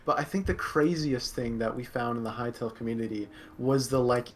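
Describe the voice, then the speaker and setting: A man's voice: medium pitch, fairly quick, slight background noise. A man in his twenties hosting a podcast, chatting casually and asking easygoing follow-up questions. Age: 20s. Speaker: podcast host. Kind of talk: casual chat.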